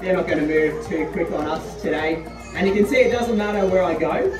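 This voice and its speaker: A man's voice: on the high side, brisk. A young man giving a chatty live demonstration talk to a crowd at an outdoor farm paddock, often asking "all right?". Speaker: farm dog handler. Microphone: distant handheld camera. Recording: distant, echoey, with loud music and crowd.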